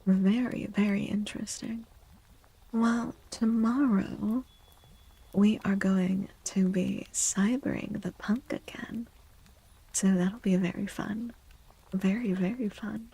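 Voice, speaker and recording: A woman speaking softly and breathily in a gentle, unhurried near-whisper, chatting as an ASMR livestream host and often softly repeating phrases. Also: medium pitch, steady tone, low-quality recording. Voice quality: creaky. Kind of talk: soft-spoken ASMR livestream chat.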